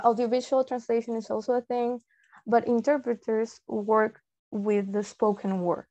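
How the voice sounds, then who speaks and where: Young woman, Peruvian Spanish accent, native Spanish speaker, soft-edged dark tone, informal online lecture, explanatory, muffled video-call audio. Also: fairly high pitch. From Peru. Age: young adult. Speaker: translator giving a presentation. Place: indoor room, on a video call.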